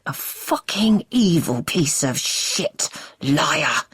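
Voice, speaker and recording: Seething controlling man, low-voiced, slow menacing snarl, read by a woman narrator. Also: expressive, breathy edge, no room sound, studio quality. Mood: furious.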